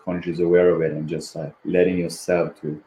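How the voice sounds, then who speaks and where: A man leading a guided meditation in a gentle, repetitive, unhurried manner, with long pauses and a background. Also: sits low, flat delivery. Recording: roomy echo, faint background noise.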